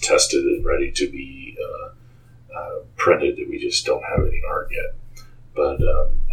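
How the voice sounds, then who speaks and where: A man with an extremely low voice speaks as an interview guest. His delivery is flat and somewhat halting, and he sounds set back from the microphone. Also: distant mic, low-quality recording. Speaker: game creator, interview guest. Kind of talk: interview talk.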